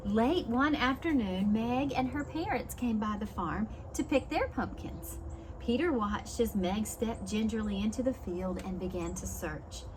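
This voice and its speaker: A woman's voice: on the high side, noisy background. A woman reading a picture book to young children as a preschool teacher, expressively, with simple explanations and questions along the way. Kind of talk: storybook read-aloud for young children, with asides.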